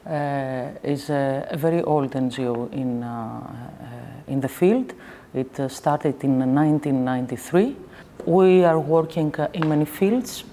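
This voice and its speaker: A woman from Greece working for an NGO, speaking slowly and hesitantly in a documentary interview. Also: low voice, warm tone, slight background noise. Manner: slow, hesitant. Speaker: NGO worker.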